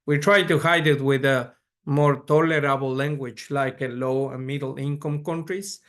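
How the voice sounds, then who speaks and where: Measured man lecturing. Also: mid-range voice, steady tone, fairly bright tone. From Ecuador. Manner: measured, frequent restarts and repeated words. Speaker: pediatric neurologist lecturer. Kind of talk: conference lecture.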